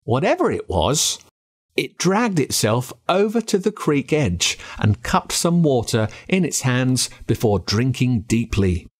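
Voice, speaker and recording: An Australian man narrating a first-person spooky story with dramatic, expressive delivery. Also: mid-range voice, measured pace, husky. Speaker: audiobook narrator.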